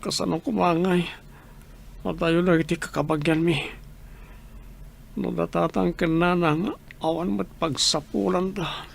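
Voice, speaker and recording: A man plays a worried, weary Ilocano man in a radio drama. His voice is extremely creaky and fairly high for a man, over faint noise. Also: fairly even intonation, unhurried, pauses often. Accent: Ilocano, northern Philippines. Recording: faint background noise and ambience.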